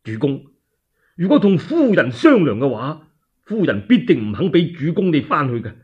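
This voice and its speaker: A male storyteller acts an urgent, loyal general in a radio drama. He is insistent and alarmed, his raised, husky voice leaping about. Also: a little high, sing-song, moderate pace, highs cut off, heavily acted. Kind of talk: one-man Cantonese radio storytelling drama.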